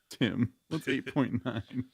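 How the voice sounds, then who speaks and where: A man's voice: medium pitch, extremely slow, vocal fry, slightly rough, no room sound. A man chatting casually as a podcast guest, joking around in slangy everyday speech. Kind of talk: casual chat.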